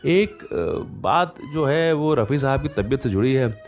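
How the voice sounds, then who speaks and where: A man hosting a radio show, telling stories conversationally with unhurried pauses and fillers. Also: mid-range voice, animated, soft background music. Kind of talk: conversational storytelling radio presenting.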